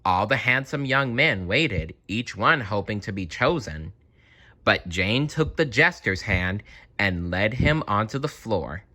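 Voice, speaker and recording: Guy reading a kids storybook, lowish voice but bright, slow and dramatic. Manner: expressive, dramatic. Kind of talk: children's storybook read-aloud.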